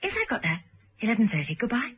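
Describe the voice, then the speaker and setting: Self-assured female agent, businesslike, husky, lilting, vintage radio drama, muffled recording. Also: a little high, big pitch swings, noisy background. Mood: brisk, courteous. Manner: brisk, businesslike.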